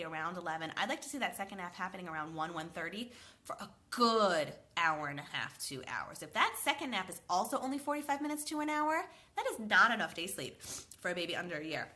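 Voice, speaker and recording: A woman's voice: mid-range voice, sing-song, fast, sharp tone. A woman in her thirties giving sleep advice in a live question and answer session, chatty and upbeat, slightly congested from a cold. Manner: chatty, upbeat, addresses viewers by name. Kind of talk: live Q&A, reading comments aloud.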